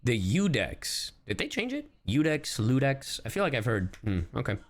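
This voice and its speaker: A man livestreaming casual reaction commentary, slangy, sweary and excitable. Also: medium pitch, moderate pace. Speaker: streamer.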